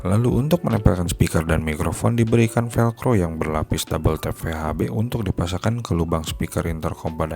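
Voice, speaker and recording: A man's voice: low-pitched, darkish tone. A man narrating a scripted gadget review as a product reviewer. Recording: music behind the voice. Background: music.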